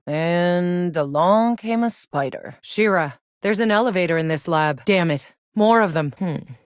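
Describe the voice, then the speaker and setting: A woman's voice: on the low side, no echo, studio quality. An actress playing a tough, sardonic female soldier in a sci-fi shooter game, with a wry, blunt delivery. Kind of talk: video game voice lines, naturalistic acting.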